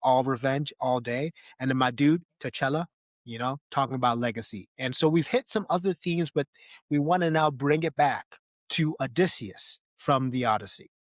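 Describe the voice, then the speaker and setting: A man's voice: medium pitch, normal speed, dry recording. A man co-hosts a casual movie podcast in a mid-range voice. He leans on key phrases, repeating them for emphasis. The sound is dry, with very little room in it. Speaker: podcast host. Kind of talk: casual film discussion podcast.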